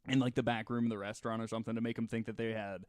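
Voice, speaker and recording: A man's voice: lowish voice, steady tone, no echo, studio quality. Casual man telling a story, often chuckling. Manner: halting, loose, often chuckling as he talks. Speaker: podcast co-host telling the story. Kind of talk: casual comedic storytelling chat.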